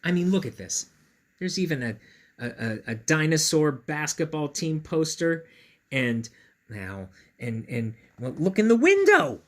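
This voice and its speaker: A man reading a picture book aloud to children in a playful, expressive way, with chatty asides to his young listeners. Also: on the high side, a little slow.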